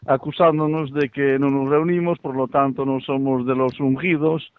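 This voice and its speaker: A man's voice: medium pitch, steady tone, moderate pace, clear, resonant tone, dry recording, phone-quality sound. A man with a Galician accent speaking informally and hesitantly in a religious discussion, a little self-conscious.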